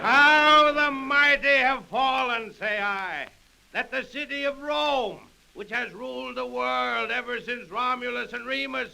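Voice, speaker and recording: An actor playing a grandiose, goading Roman Praetorian officer running an auction in declamatory 1930s radio drama style. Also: male voice, very high voice, a little slow, gravelly, sharp tone, slight background noise, exaggerated voice, rough recording. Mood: scornful lament. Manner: declamatory, theatrical oratory. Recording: old 1930s radio recording.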